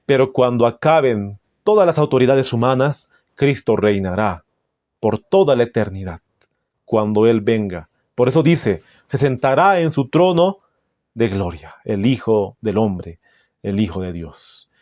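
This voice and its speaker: A man with a Bolivian Spanish accent preaching in a solemn, didactic way, reading out passages with deliberate phrasing. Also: mid-range voice, animated, a little mellow, no room sound, highs cut off. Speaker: Adventist preacher. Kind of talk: sermon with scripture and book readings.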